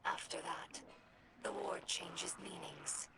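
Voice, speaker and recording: A woman voicing an earnest, gentle young female alien scribe in a video game, narrating lore in a measured, formal, reflective way with a breathy voice. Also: fairly low pitch, heavy background noise. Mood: sober, resigned. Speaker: scribe recording her house's history.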